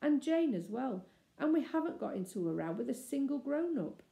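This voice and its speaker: A woman's voice: high-pitched, sing-song, normal speed, gravelly, warm tone, reverberant, pitched above the performer's own voice, exaggerated voice. A woman voicing an earnest, kindly young girl in a storybook, in a thoughtful, polite manner. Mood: earnest, relieved.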